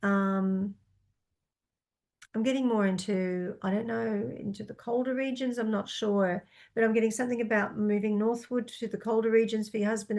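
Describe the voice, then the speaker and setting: A woman reads out questions from viewers and answers them as a psychic. She talks tentatively and thinks aloud, with frequent ums and half-finished starts. Her voice sits at an average pitch. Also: darkish tone. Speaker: psychic reader.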